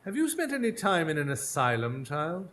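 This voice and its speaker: A man's voice: on the high side, very animated, moderate pace, a bit bright. A man playing a sceptical, exasperated Victorian detective in a radio drama, speaking formally and dryly with probing questions. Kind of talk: live radio drama acting.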